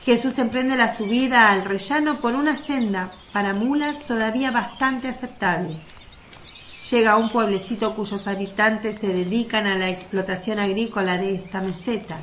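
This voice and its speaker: A woman's voice: mid-range voice, moderate pace, fairly bright tone, a little hiss or hum. A woman reading scripted narration for a religious radio drama.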